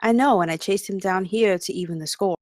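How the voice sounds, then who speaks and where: An actress playing a tough, flippant young campus guard in a comedy table read. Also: female voice, mid-range voice, brisk, a little mellow, dry recording, studio quality, natural voice.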